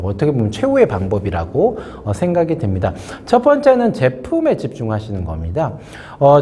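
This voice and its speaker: A man's voice: medium pitch, warm tone. A man hosting a spoken business lesson, talking casually with many fillers and asides while nursing a sore throat from a cold. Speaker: host giving a business lesson. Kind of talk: casual spoken lecture to camera.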